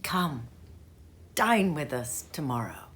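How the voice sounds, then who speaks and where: A woman's voice: extremely low pitch, very animated, slow, slight background noise, pitched below the performer's own voice, exaggerated voice. A woman voicing a cheerful, earnest young man who pleads with his uncle, read at home in a sitting room. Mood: pleading. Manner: earnest, pleading, warm-hearted.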